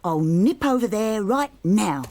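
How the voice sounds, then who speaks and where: A woman voicing a brisk, cheerful clock lady in a storybook, with music behind. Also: mid-range voice, expressive, mellow, voice pushed up.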